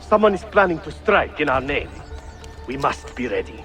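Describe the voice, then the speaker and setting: High-pitched, commanding soldier. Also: male voice, big pitch swings, normal speed, a bit bright, faint music behind. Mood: indignant, commanding. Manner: declamatory, commanding. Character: stern, indignant Ottoman soldier captain rallying his men. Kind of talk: video game cutscene acting.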